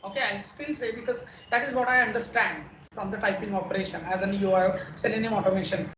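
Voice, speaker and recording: An instructor from India, patiently explaining software testing in a recorded lecture and often checking in with "okay" and "right". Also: medium pitch, fairly even intonation, fast talker. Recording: roomy echo, faint background noise.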